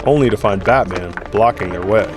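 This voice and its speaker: A man's voice: on the low side, fairly quick, music in the background. A man reading scripted third-person narration for an audio drama.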